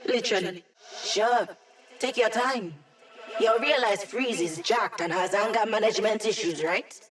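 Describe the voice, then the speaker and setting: A woman's voice: medium pitch, a little slow, close to an everyday voice. An actress voicing a witty young female hacker in a video game, a digitized mind who helps a squad with breezy, wry, techy teasing. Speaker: mission support character in a video game.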